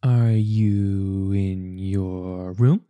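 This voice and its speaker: Slow, soft-cooing woman in ASMR roleplay. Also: rumbling low, fairly even intonation, dry recording, narrow, tinny audio. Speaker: roleplay performer. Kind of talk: ASMR roleplay as a mother comforting a child.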